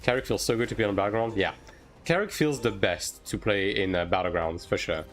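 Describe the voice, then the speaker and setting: A man's voice: lowish voice, fairly quick, faint music behind. A man from France, a native French speaker, chatting casually and informally on a game livestream as he answers viewers. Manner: informal, hedging, tags sentences with "right?". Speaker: game streamer.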